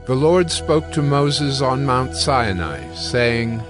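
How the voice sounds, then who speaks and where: A man narrating scripture in a slow, deliberate manner. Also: mid-range voice, expressive. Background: faint music.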